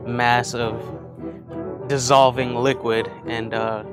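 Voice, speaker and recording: A man's voice: medium pitch, little pitch movement, takes it slowly. A man in his twenties speaking hesitantly in a casual interview, with frequent "uh" and "um". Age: 20s. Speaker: club member. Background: guitar music.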